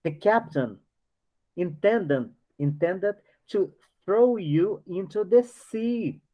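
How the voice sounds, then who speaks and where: A man leading a book study class. He reads passages aloud and explains them, halting often and restarting as he searches for words. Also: sits high, lively intonation. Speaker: study group leader. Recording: dull lo-fi recording.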